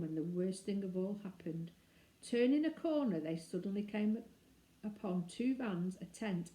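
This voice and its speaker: A woman with a soft, mellow voice reads a children's storybook aloud. She is expressive and leans into the drama of the tale. The room adds a clear echo around her words. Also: fairly low pitch. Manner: animated, dramatizes dialogue with shifting emphasis. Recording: roomy, echoing indoor sound.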